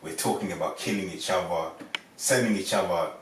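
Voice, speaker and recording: A man makes his case earnestly in a casual podcast discussion, sprinkling in "you see" and turning loud when pushed. His voice sits low. The room is echoey, the mic distant, with faint noise underneath. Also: brisk. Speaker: podcast panelist.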